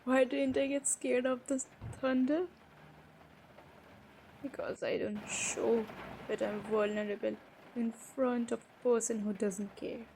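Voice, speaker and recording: Woman acting a high-voiced, wounded young woman. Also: fairly even intonation, slow pace, darkish tone. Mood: angry, wounded. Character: hurt, defensive young woman confronting her stepbrother. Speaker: audio roleplay performer. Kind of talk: improvised romantic roleplay drama. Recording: noisy background ambience.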